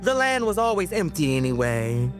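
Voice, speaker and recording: A woman's voice: on the low side, big pitch swings, moderate pace, music in the background. A woman performing a spoken-word poem in the voice of a falsely soothing colonizer, condescending and full of stumbling self-corrections. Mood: sneering.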